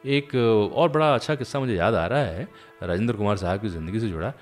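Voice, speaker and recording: Low-voiced male storytelling host. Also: lively intonation, fast talker, soft background music. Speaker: radio host. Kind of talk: conversational storytelling about old cinema.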